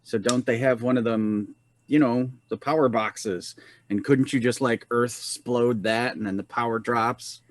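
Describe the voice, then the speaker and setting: Slow, rambling man at a game table. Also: medium pitch, fairly even intonation, a little hiss or hum. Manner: rambling, hedging with fillers. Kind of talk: casual table chat.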